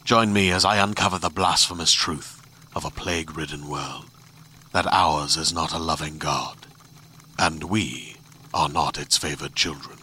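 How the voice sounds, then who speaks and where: A man announcing a dark podcast promo with a grave, ominous delivery. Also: a bass voice, fairly even intonation, a little slow, slightly rough.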